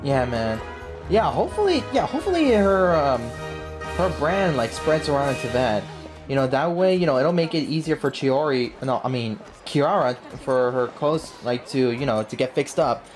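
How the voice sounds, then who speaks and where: A man's voice: medium pitch, animated, moderate pace. A young man doing casual, slangy, playful let's play commentary as a video game streamer. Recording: game music behind the voice.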